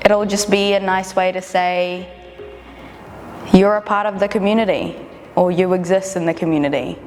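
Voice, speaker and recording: A woman raised in New Zealand after leaving the Philippines speaks with a New Zealand accent, earnest and reflective. Her voice is lowish. A reverberant room and faint music sit behind her. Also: steady tone, moderate pace, a little mellow. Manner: earnest, thoughtful. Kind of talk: reflective interview.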